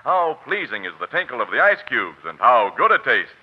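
A man's voice: mid-range voice, sing-song, brisk, breathy edge. An American man announcing and reading sponsor copy in a polished, declamatory 1940s radio style. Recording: muffled vintage radio recording, music behind.

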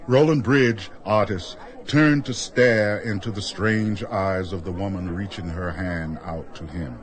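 A man's voice: sits low, a little slow, a faint crowd. A man narrating a radio drama in the third person, in a literary, descriptive manner. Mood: evocative. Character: smooth third-person male storyteller.

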